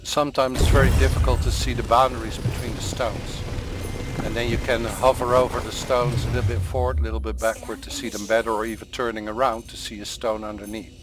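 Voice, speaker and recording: A man with a Dutch accent, a native Dutch speaker, explains calmly and step by step as he plays through a game tutorial. His voice sits at a middling pitch. Game music plays steadily underneath. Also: brisk. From Netherlands. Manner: calm, explaining step by step while playing. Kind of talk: gameplay tutorial commentary. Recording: voice over game sound and music.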